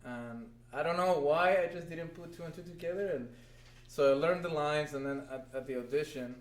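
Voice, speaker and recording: A man's voice: on the high side, fairly quick. First guy chatting easy and upbeat in an echoey room. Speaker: voice actor guest. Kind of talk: casual interview chat. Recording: roomy stream audio.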